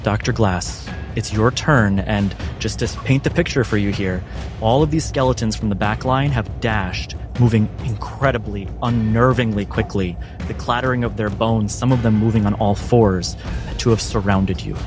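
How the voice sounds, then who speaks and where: A man with a fairly low voice acts as game master, narrating scenes descriptively for tabletop players. Clear music plays underneath him. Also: moderate pace.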